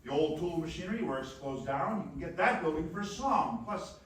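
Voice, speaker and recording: An actor playing an earnest, excitable small-town young man in a 1940s style radio play, stammering and emphatic. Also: fairly high pitch, moderate pace, natural voice. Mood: hesitant. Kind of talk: live radio play acting, 1940s American. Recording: echoey dance hall from far off, faint noise.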